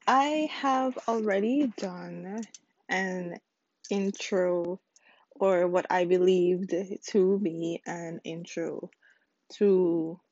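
Woman, 20s, from the Virgin Islands, solo podcast host; squeaky, rambling, self-correcting delivery; dry, close recording. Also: darkish tone, no room sound, highs cut off. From United States Virgin Islands. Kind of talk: casual solo podcast intro.

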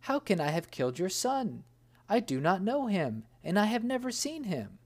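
A terrified merchant begs for his life in a raised, trembling voice, as a reader puts him on. His pitch leaps around as he pleads. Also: sing-song, moderate pace. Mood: pleading.

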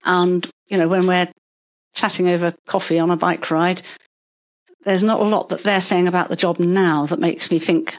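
An older woman, a retired paediatrician, talking through her working life in a measured, explanatory way, with stories along the way. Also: on the low side, level tone. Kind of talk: conversational interview.

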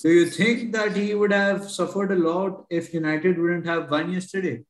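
A man's voice: sits high, fairly even intonation, moderate pace, roomy sound. A man hosting a sports podcast, steering the chat back with questions. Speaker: podcast host.

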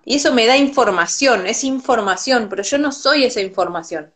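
A woman's voice: a little high, expressive, moderate pace. Thoughtful Argentine woman on a call. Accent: Argentine Spanish. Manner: thoughtful, repeats words when restarting. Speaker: Ayurveda teacher, guest. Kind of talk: reflective conversational explanation. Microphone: video call.

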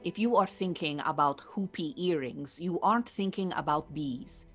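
Exacting Iranian refugee mentor, played by an actress: female, low register, precise and commanding, audio play with faint music bed. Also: normal speed, natural voice. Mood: stern, commanding. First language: Farsi. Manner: formal, precise, bossy, dry. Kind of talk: audio theatre acting.

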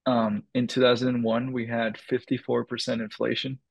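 A man's voice: mid-range voice, flat delivery, normal speed, creaky, no room sound, low-quality recording. A man from the Dominican Republic, a crypto startup founder, answering interview questions in a thoughtful way with many fillers and restarts.

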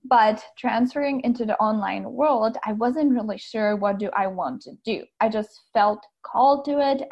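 Bubbly Czech-born woman guest, higher voice, muffled lo-fi sound. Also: a little mellow. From Czech Republic. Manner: bubbly, laughs often, uses like a lot. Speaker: guest, coach. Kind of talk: casual interview chat. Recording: muffled lo-fi audio.

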